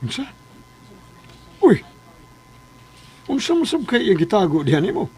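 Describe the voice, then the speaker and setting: A man's voice: high-pitched, big pitch swings, a little slow, darkish tone, slightly rough, heavily acted. A man acting in a Philippine radio drama as an older father-in-law, questioning insistently in a Filipino accent and standing up for his son. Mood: concerned, curious.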